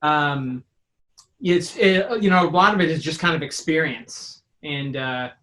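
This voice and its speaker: A man's voice: fairly high pitch, a little slow, pushed and bright. A man talking casually in an interview, rambling and thinking aloud with enthusiasm. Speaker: sound designer and composer; teacher.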